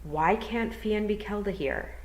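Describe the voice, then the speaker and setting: A woman's voice: mid-range voice, measured pace, roomy sound, pitched above the performer's own voice, natural voice, rough recording. A woman voicing a young storybook heroine, a direct, inquisitive girl with a stubborn streak. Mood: questioning.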